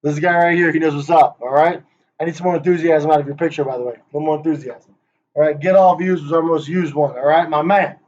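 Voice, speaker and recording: A man with a high voice runs through a presentation in a joking, hyped-up way, teasing the people he names. The recording sounds dull and lo-fi. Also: fairly even intonation, fast, darkish tone, a little hiss or hum. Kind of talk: rehearsing a playful conference talk.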